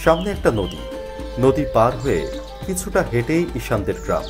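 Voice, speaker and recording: A man narrating a spooky first-person story in an expressive, dramatized way. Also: medium pitch, normal speed. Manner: expressive, acts out the character's worried thoughts. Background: faint music.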